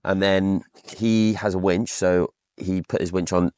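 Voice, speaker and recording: A man's voice: deep voice, fairly even intonation, measured pace, a little mellow, clear, resonant tone, no echo, studio quality. A man chatting casually on a podcast, telling anecdotes as an off-road driver.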